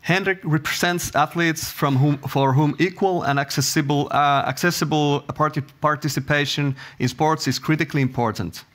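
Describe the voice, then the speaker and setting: A man's voice: a little high, steady tone, moderate pace, few pauses, fairly bright tone. Man formally opening a panel. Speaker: moderator.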